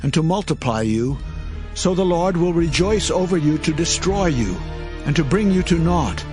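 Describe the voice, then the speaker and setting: A man's voice: fairly high pitch, expressive, normal speed, lightly acted. A man playing a solemn, declamatory prophet over music. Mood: grave warning. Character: Moses, solemn male prophet and leader addressing his people. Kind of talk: dramatized scripture reading in King James English.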